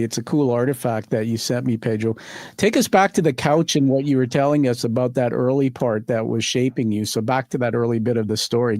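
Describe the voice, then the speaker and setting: A man hosting an interview podcast, prompting his guest warmly with enthusiastic questions. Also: medium pitch, no room sound. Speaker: podcast host. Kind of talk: casual interview chat.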